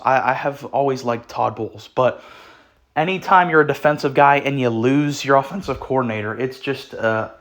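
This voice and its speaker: Young male sports vlogger, sick, middle pitch, blunt and rambling with frequent throat clearing. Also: fairly bright tone. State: sick, voice sounds off. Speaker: sports channel host. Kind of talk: casual opinion talk on football.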